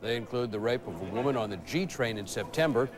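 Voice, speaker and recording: A man's voice: mid-range voice, lively intonation, fast talker. An American man reading scripted television news as an anchor. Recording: clearly noisy broadcast recording.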